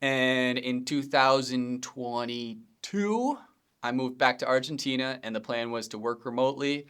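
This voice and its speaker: A man in his thirties from Pennsylvania talks casually into the mic from his home studio, introducing his podcast. His voice is smooth and resonant, sitting at an ordinary middle pitch. He wanders from point to point, filling gaps with ums and correcting himself mid-sentence. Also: measured pace. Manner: relaxed, rambling, frequent ums and self-corrections, occasional laughs. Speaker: podcast host, video editor.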